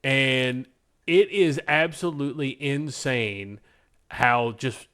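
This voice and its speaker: A male podcast co-host talks in a loose, conversational way with a mid-pitched voice. He is enthusiastic and emphatic, stressing his points as he chats. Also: slow, pushed and bright, clear, resonant tone. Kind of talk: casual podcast chat about movies.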